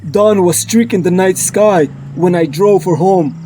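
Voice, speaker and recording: A man's voice: high-pitched, unhurried, warm tone. A man voicing Batman as a grave, driven detective hero in a solemn, melodramatic comic-book dub. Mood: brooding. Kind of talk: dramatic comic-book dub with inner monologue. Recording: music bed under the voice.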